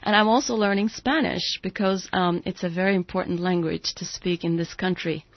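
A woman from Turkey, a native Turkish speaker with a Turkish accent, talking as an interview guest and pausing to search for words. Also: on the low side, fairly even intonation, no echo. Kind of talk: conversational radio interview. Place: radio studio. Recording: dry, slightly dull radio audio.

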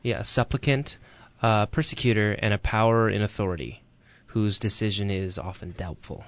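A man co-hosting a talk radio show, explaining things calmly with fillers. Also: fairly low pitch, normal speed. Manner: reads a listener letter aloud, explains calmly with fillers. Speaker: radio co-host.

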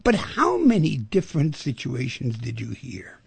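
A man hosting a talk radio show, talking in an animated way and asking rhetorical questions. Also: mid-range voice, unhurried, vocal fry, slightly rough, dry recording.

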